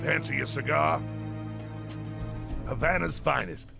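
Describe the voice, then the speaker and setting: A bossy old colonel, super gravelly voice, loud music behind. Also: male voice, fairly high pitch, a little slow. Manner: bossy, blustering, folksy slang. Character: gruff, boastful older male military colonel.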